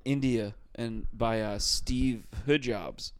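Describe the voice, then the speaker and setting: A man's voice: mid-range voice, slow, smooth. A man hosting a comedy podcast, rambling in casual banter full of filler words and swearing.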